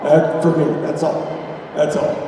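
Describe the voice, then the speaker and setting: A man's voice: on the high side, completely flat delivery, a little slow. A man guest on a convention panel, speaking passionately with a wry edge. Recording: echoey hall from far off, noisy.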